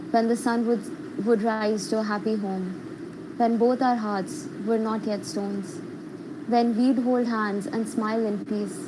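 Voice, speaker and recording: A woman's voice: a little high, background noise. A woman reading her own poetry aloud on an online call. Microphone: video call.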